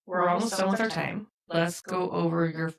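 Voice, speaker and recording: Gravelly middle-aged woman hosting. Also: fairly low pitch, fairly even intonation, moderate pace. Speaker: podcast host. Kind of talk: casual chat.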